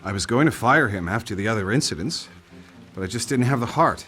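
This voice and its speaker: An actor playing a wealthy, weary politician in a video game cutscene, with a genteel, measured manner. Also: male voice, lowish voice, lively intonation, fairly bright tone, soft background music. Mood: somber, regretful. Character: wealthy politician, head of household, troubled and weary.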